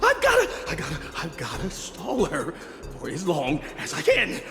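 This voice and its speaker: An actor voicing a cowardly, lowly office grunt in a game, babbling and stammering with nervous giggles. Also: huge pitch swings, moderate pace, gravelly, music in the background. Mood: panicky, pleading. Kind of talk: animated game voice acting. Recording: very echoey hall with long reverb.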